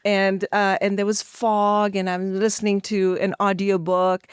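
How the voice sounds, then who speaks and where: A woman's voice: mid-range voice, flat delivery, unhurried, smooth, dry recording, studio quality. A middle-aged woman from India talking as an interview guest, telling personal stories conversationally and acting out bits of remembered dialogue. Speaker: podcast guest, actor.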